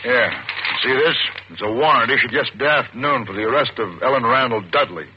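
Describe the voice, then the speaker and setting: An actor playing a stern, forceful American deputy district attorney in an old-time radio suspense drama, commanding, clipped and formal. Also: male voice, mid-range voice, a little fast, lightly acted. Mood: angry, forceful. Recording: muffled, noisy old radio recording.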